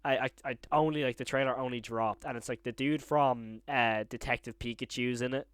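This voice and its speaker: A man's voice: medium pitch, steady tone, a little fast, a bit bright, clear, resonant tone, dry recording, clean studio sound. A young man chatting casually as an enthusiastic, slangy host and interviewer. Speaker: YouTube horror reactor and interviewer, also a voice actor. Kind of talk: casual chat.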